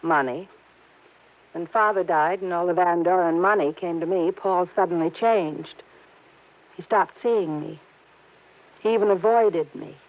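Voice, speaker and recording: A woman's voice: fairly low pitch, a little slow, long pauses. An actress playing a worried, earnest young wealthy woman in a 1950s radio drama, on a tinny old broadcast recording. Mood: anxious. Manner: anxious, hesitant, earnest.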